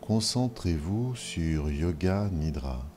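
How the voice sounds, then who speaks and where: A man guides a yoga nidra relaxation at an extremely slow pace. His voice is very deep and mellow, giving short, measured instructions separated by long pauses. Music plays behind him. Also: expressive.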